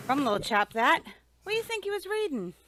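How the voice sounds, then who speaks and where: An actress playing a prim, gossipy librarian in old-time radio drama style, polite and curious with a sing-song delivery. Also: female voice, high voice, very animated, brisk, heavy background noise. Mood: nosy, dry.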